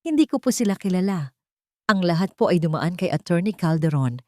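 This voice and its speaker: A woman voicing a humble young single mother in an audio story, respectful and sorrowful, confessing in a halting, pained way. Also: lowish voice, sing-song, mellow, no room sound, studio quality. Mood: tearful, tender.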